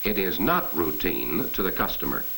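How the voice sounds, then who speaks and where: A man narrates a 1940s corporate training film in a low, expressive voice. He is earnest and instructive, speaking straight to the viewer. The old soundtrack carries a faint hiss. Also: moderate pace, low-quality recording.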